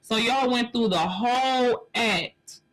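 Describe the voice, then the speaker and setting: A woman's voice: on the high side, slow, poor audio. A woman in her forties giving blunt, emphatic commentary on a live stream, speaking straight to the viewer as "sis". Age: 40s. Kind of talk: casual commentary on a news story.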